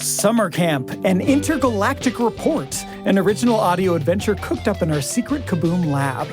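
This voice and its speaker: A woman's voice: medium pitch, expressive, normal speed, music in the background. A woman speaking as a podcast host and announcer.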